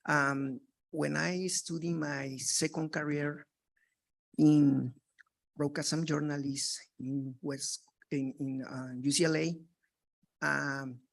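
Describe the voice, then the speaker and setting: A man from Mexico, a native Spanish speaker with a Mexican Spanish accent, making an earnest, halting public comment in broken English. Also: a little high, creaky. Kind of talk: public comment at a board meeting.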